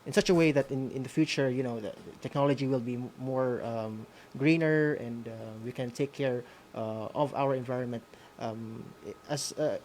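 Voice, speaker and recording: Filipino guy hosting a school radio discussion, kinda halting, bit of noise behind him. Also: mid-range voice, steady tone, a little mellow. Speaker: host of a radio school program.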